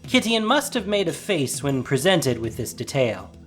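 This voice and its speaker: A man's voice: fairly high pitch, very animated, normal speed, a bit bright, soft background music. A man narrating a scripted story as a dramatic storyteller.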